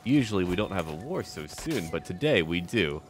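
A man's voice: low-pitched, sing-song, normal speed. A man hosting a roleplay strategy game video, musing aloud in short, clipped remarks. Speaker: gaming video host.

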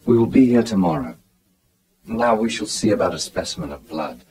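A man playing an earnest doctor, very expressive pitch. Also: fairly quick, natural voice, low-quality recording. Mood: concerned. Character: earnest male asylum doctor, attentive and concerned. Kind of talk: radio drama acting.

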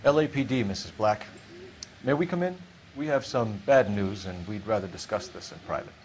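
A man's voice: on the low side, moderate pace, a little hiss or hum, natural voice. A man acting as a composed, firm 1940s police detective in a video game, with a formal, clipped way of questioning. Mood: polite, businesslike.

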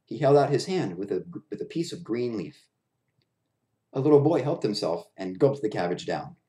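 A man's voice: fairly low pitch, very animated, moderate pace, dark tone. A man in his thirties reading a children's story aloud in a small room at home. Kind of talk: children's picture-book read-aloud. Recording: roomy echo of a bare room.

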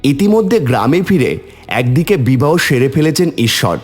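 A man's voice: medium pitch, big pitch swings, moderate pace, husky, faint music behind. A man narrating a scripted story in a dramatic storytelling style.

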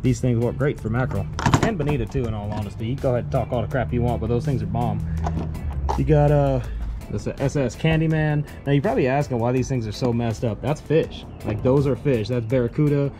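A young man, casually showing his fishing tackle in a joking, loud, animated vlog style. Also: medium pitch, a little fast. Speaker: fishing vlogger. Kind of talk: casual show-and-tell vlog. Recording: music playing under voice. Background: background music.

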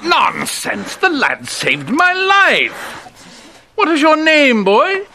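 A man's voice: extremely high pitch, huge pitch swings, extremely slow, creaky, full character voice. A middle-aged actor playing a hearty, bombastic king who makes overblown regal proclamations in a game cutscene. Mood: commanding. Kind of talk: theatrical acting in a live-action game cutscene. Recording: loud music behind the voice.

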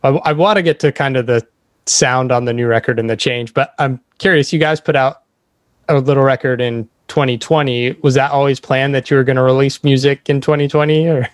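A man's voice: medium pitch, fairly even intonation, a little fast, no echo. Dry-sounding man hosting a casual interview. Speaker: podcast host interviewing a band.

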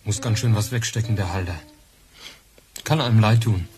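An actor playing a dry, laconic husband in a radio crime drama, talking casually in short teasing phrases. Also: male voice, on the low side, normal speed, over music, close to an everyday voice. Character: laconic, dry husband on a long working day.

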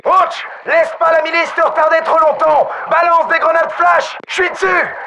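A male actor playing a gruff, focused special forces soldier in a military video game, with terse, commanding delivery. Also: extremely high pitch, level tone, normal speed, bright, forceful tone, slight background noise, heavily acted, rough recording. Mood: urgent. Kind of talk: military game dialogue, radio callouts.

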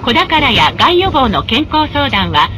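A woman with a high, very melodic voice delivers short scripted adverts. Her manner is polite and polished, like a sales announcement. Clear vehicle noise runs behind her, as if recorded inside a tram. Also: big pitch swings, a little slow. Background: tram running noise.

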